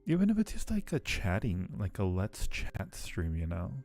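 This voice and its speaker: A man's voice: deep voice, wildly swooping pitch, normal speed, darkish tone, soft background music. A man chatting casually and playfully with viewers on a livestream, teasing them affectionately. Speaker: live streamer hosting a chat.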